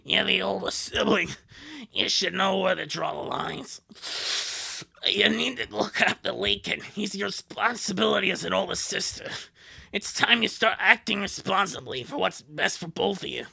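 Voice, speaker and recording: A man voicing a stern chain-smoking mother, gravelly and exasperated, lecturing in a cartoon fan dub. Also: high-pitched, normal speed, pushed and bright, full character voice, poor audio. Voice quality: gravelly, coughing.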